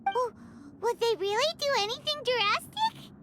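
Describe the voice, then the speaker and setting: An actress voicing a tiny, childlike fairy companion in a video game, squeaky, cheeky and excitable. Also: female voice, normal speed, very noisy. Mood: curious. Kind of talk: English video game voice acting.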